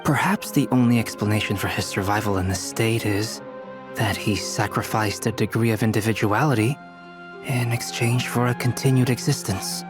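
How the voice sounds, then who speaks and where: A man playing a polite, scholarly researcher in a game dub, speaking in a measured, formal, analytical way. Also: on the low side, steady tone, music in the background, natural voice. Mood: grave, absorbed. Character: polite, scholarly young male researcher absorbed in theory. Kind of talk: English video game dub acting.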